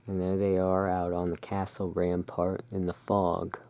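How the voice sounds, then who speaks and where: A person narrating in a soft, hushed, deliberate ASMR style while presenting cards as a host. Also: low-pitched, monotone.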